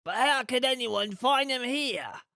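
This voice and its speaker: A woman's voice: high voice, lively intonation, unhurried, sharp tone, dry recording, studio quality, pitched above the performer's own voice, full character voice. A woman voicing a simple male henchman in a storybook, whiny, fawning and ingratiating. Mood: puzzled.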